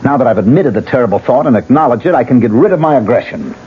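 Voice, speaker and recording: A man's voice: mid-range voice, lively intonation, quick pace, lightly acted. An actor playing a tormented, sardonic man who talks to a ghost, in theatrical 1970s radio drama style. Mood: darkly playful. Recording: muffled, hissy 1970s radio recording.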